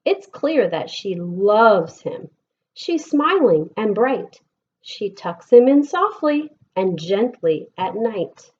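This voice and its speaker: A woman with an American accent reads a picture book aloud to children with expressive, deliberate storybook phrasing, like a schoolteacher. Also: medium pitch, slightly rough, highs cut off. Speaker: elementary school teacher reading aloud.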